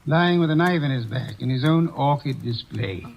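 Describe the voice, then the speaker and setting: An actor playing a portly, unflappable older detective who speaks in a measured, pompous way, in 1940s radio acting. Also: male voice, medium pitch, expressive, creaky. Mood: smug. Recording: dull old radio broadcast recording.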